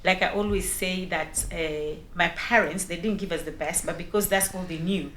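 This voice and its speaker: A woman, telling her life story candidly as an interview guest, animated and laughing as she talks. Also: on the low side, moderate pace, echoey room, a little hiss or hum. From Kenya.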